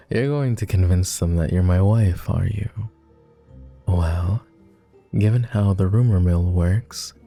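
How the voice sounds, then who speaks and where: A man's voice: low voice, vocal fry, dark tone, full character voice. A man playing a clingy, lovestruck and melancholy vampire lord in an intimate boyfriend roleplay ASMR, speaking tenderly in formal, old-fashioned, hesitant phrases. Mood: teasing.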